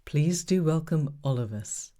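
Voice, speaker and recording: A woman hosting a podcast, giving an earnest, measured introduction. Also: sits low, lively intonation, mellow. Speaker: podcast host.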